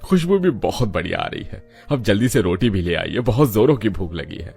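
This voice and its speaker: A man playing an easygoing, hungry middle-aged father in a cartoon, with a husky voice. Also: on the low side, huge pitch swings, normal speed, darkish tone, faint music behind, heavily acted. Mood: pleased, hungry.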